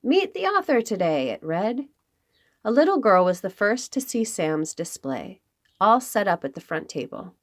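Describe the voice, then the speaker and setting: A woman reads a picture book aloud for young children. Her delivery is expressive and highly animated, with a mid-range voice that rises and falls widely. She leans on important words and leaves dramatic pauses. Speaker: children's read-aloud host.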